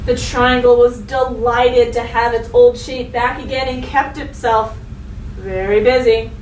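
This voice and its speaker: A woman with a high voice speaks as a teacher to young children. She is expressive and instructive, addressing listeners directly. The recording sounds roomy and distant, with faint noise. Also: unhurried. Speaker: elementary school teacher. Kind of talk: read-aloud storytelling and lesson for children.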